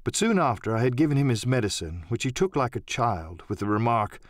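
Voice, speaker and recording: A man's voice: medium pitch, animated, brisk, no room sound, studio quality. A man narrating an audiobook as scripted, dramatic first-person storytelling.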